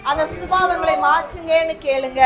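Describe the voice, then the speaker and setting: A woman with a very high voice preaches and leads prayer with fervent, repetitive urging. A congregation is clearly heard in the background. The recording comes from inside a large hall. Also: moderate pace, crowd noise. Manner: repetitive urgent exhortation. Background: congregation responding amen.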